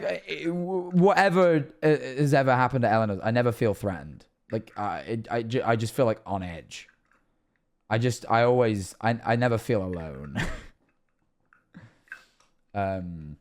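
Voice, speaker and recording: A young man in his twenties streaming from his bedroom, rambling and trailing off quietly, with a voice that is going rough and hoarse. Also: fairly low pitch. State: losing his voice, rough throat. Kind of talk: casual reaction stream chat. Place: bedroom streaming setup indoors. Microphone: headset on a streaming setup.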